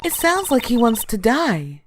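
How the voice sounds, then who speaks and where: High, animated, exasperated mentor played by a woman. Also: unhurried, a little hiss or hum. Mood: curious. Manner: chiding, emphatic. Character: exasperated, caring female senior lawyer mentoring a rookie. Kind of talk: game fandub acting. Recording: loud music behind the voice.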